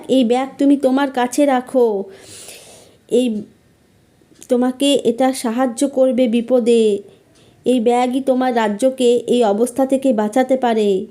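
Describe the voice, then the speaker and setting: Storybook fairy, performed by a woman: high, mellow, kindly, gently instructing and reassuring. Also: natural voice. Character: kindly, reassuring female salt fairy with magic.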